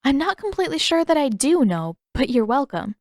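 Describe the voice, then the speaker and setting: Woman voicing a teasing, confident teenage girl, higher-pitched. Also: very animated, no room sound, studio quality. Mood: teasing, light. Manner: brisk, playfully sarcastic.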